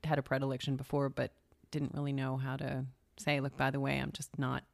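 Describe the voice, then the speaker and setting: A man co-hosting a casual talk show, talking in an animated way, often tagging on a rhetorical "right?" and slipping in jokes. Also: mid-range voice, fairly quick, creaky. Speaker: podcast co-host. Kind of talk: casual conversational chat.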